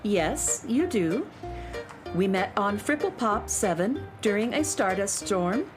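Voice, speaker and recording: A serene, mysterious woman of outer space in a children's radio play. She talks slowly and deliberately at a medium pitch, with music behind her. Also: sing-song. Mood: calm, warm. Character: calm, mysterious woman, old space acquaintance.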